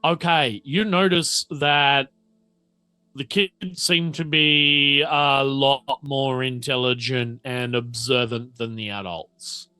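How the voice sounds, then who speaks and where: A man runs a tabletop roleplaying game in a bright, forward voice. He takes his time, feeling out each description conversationally. The sound is close and dry. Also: medium pitch, fairly even intonation, clear, resonant tone, dry recording, soft background music. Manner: halting, conversational scene-setting. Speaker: game master. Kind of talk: improvised tabletop game narration.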